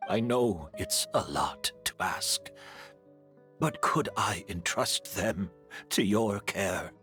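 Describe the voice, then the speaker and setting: A man playing a weary, resigned teacher in a video game, speaking in a halting, deliberate way. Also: on the low side, expressive, pushed and bright, faint music behind, heavily acted. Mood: gentle, pleading. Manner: slow, halting, deliberate delivery. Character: weary, resigned male teacher and mentor facing his end.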